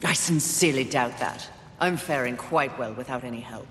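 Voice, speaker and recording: A woman's voice: very deep voice, moderate pace. Amid heavy echo and faint ambience, a female horseman of the apocalypse for a video game. She is imperious and contemptuous, delivering every line with sardonic, clipped disdain. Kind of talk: video game cutscene acting. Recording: reverberant game mix, faint ambient noise.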